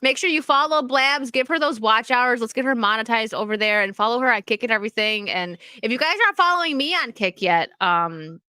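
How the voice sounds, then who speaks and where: Bright-voiced hoarse woman streamer. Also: fairly high pitch, fairly quick, hardly any pauses, no room sound. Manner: chatty, giggly, addresses chat directly. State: hoarse, losing her voice. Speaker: streamer hosting a chat show. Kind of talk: casual livestream chat. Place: home streaming setup in Florida.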